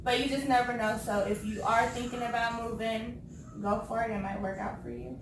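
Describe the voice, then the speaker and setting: A woman in her thirties from California, chatting casually on a talk show, laughing often and pausing with ums. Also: fairly high pitch, steady tone. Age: 30s. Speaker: co-host. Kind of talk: casual chat. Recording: echoey room, far from the mic, background noise.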